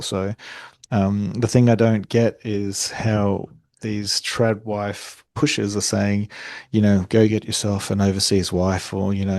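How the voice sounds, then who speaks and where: Low, mellow Australian man, dry sound. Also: no room sound. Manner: conversational, self-described monotone, frequent fillers and restarts. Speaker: podcast host. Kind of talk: solo podcast monologue.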